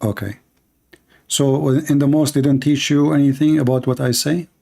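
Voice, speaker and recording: A man's voice: medium pitch, fairly even intonation, normal speed, creaky, mellow. A man with an Arabic accent hosting a call-in show, questioning callers insistently and telling stories with animation. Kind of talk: call-in debate.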